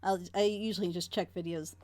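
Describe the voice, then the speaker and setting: A woman's voice: lowish voice, fairly even intonation, fairly quick, darkish tone, no echo. A woman hosting a reaction video, casually picking apart what she watches. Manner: critical, analytical. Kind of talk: casual chat. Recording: noisy background.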